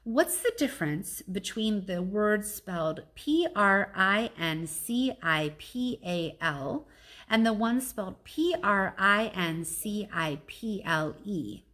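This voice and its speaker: A woman's voice: medium pitch, expressive, takes it slowly, a bit bright. A woman voicing a young schoolgirl in a storybook, questioning and a little whiny. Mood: puzzled. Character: young schoolgirl, questioning, complaining about homework.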